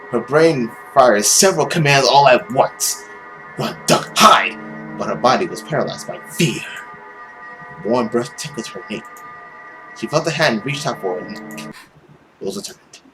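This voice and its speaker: A young man with a mid-range voice reads a visual novel aloud for a let's play. His delivery is theatrical and suspenseful, moving freely up and down in pitch. Faint music plays behind him. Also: a bit bright, low-quality recording. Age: young adult. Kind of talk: dramatic read-aloud of a visual novel with casual commentary. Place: indoor room at home. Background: game music.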